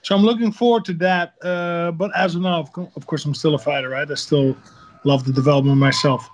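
A man with a Dutch accent, a fighter interviewed over a video call, calm and measured with dry humor. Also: on the high side. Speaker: guest, fighter.

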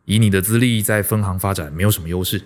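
A man's voice: low-pitched, fast, darkish tone, lightly acted. An actor with a Taiwanese Mandarin accent playing an insistent, pragmatic older father who meddles, in a radio drama. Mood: nagging.

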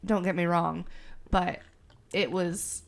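Woman, podcast co-host, deep fried sinus-infection voice, loose and laughing. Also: fairly even intonation, slow. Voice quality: gravelly. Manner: laughs often, swears casually. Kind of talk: casual chat.

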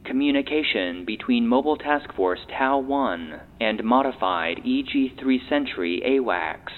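A man voicing an impassive database announcer, reading formal logs in a measured, procedural way through a thin electronic filter. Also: mid-range voice, animated. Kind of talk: formal scripted audio play narration. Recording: lo-fi phone-like filter with faint noise.

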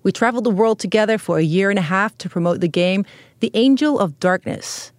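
A woman hosting a podcast, warmly introducing her best friend and asking about her struggles. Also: medium pitch, fairly quick, no room sound, studio quality. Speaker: podcast host. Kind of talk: podcast interview. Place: podcast studio.

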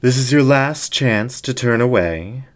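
A man voicing a seductive, commanding male fox demon ringmaster in a story reading, slow, grandiose and coaxing. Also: medium pitch, expressive, pitched above the performer's own voice, natural voice. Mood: ominous coaxing.

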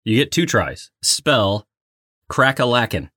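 A man's voice: medium pitch, sing-song, slow pace, pushed and bright, a little hiss or hum. A man co-hosting a trivia game with casual banter and a playfully dramatic delivery. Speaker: trivia game show co-host and contestant.

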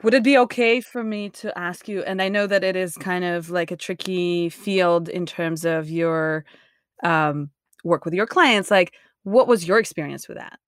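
Thoughtful woman interviewer, halting speech. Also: lowish voice, dry recording, studio quality. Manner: thoughtful, hesitant, searching for words. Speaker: interviewer, host. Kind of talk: casual conversational interview.

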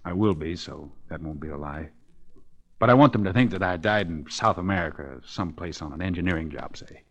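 Weary, deep-voiced tough convict. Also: male voice, brisk. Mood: pleading, resigned. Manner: rough streetwise slang. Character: dying convict, weary tough crook pleading for his family. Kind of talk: 1940s radio acting. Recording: muffled old 1940s radio recording.

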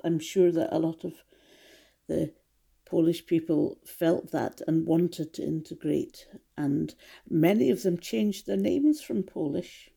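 An older woman, gently reminiscing in conversation and often restarting her sentences. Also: sits low, slow, vocal fry. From Scotland. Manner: gentle, reflective, with frequent restarts. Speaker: interviewee, mother.

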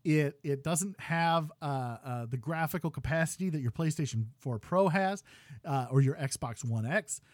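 A man in his thirties hosting a gaming podcast, ranting loudly with exasperation while losing his voice. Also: fairly high pitch, normal speed, no echo, studio quality. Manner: loud exasperated ranting, swings to quiet muttering. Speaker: podcast host.

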